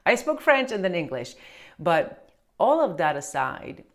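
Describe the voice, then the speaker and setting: Low-pitched woman in her 40s, chatty, lots of ums and restarts. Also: very animated, unhurried. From Romania. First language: Romanian. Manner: chatty, many fillers and restarts. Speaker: accountant and business owner. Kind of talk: casual chat to camera.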